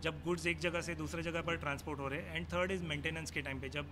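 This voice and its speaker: High-pitched Indian man, noisy recording. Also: steady tone, fast. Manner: mixes Hindi with English technical phrases. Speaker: technical expert being interviewed. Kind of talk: technical explanation and demonstration. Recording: clearly noisy on-location ambience.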